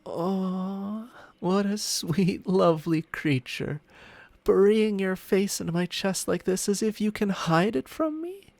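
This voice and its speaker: A man playing a smug, possessive ancient dragon familiar in an intimate ASMR roleplay, teasing and doting in breathy murmurs that slip into whispers. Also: high-pitched, slow, darkish tone, no room sound, heavily acted. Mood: teasing, seductive. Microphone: close-up microphone with spatial panning.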